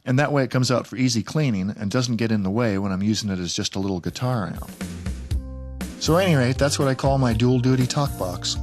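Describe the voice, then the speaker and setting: A man's voice: medium pitch, brisk, darkish tone, faint music behind. A man presenting a casual DIY tutorial in a plain-spoken, lightly wry way. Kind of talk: casual how-to narration.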